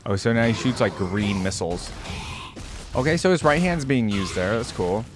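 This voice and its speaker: Lively man reacting with exclamations. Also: fairly low pitch, moderate pace, background noise. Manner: exclaims and laughs while thinking aloud. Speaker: reaction video host. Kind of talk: casual reaction commentary.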